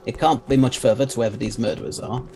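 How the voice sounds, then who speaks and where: A man playing a brisk, authoritative time-travelling hero who explains things with assurance in an audio drama. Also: mid-range voice, gravelly, a little mellow, soft background music, close to an everyday voice. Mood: assured.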